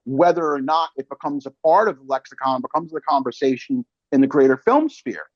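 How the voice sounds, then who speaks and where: A man's voice: mid-range voice, lively intonation, a little fast, no echo, highs cut off. A man hosting a casual film interview, talking emphatically in slang and analogies. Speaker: podcast host and interviewer.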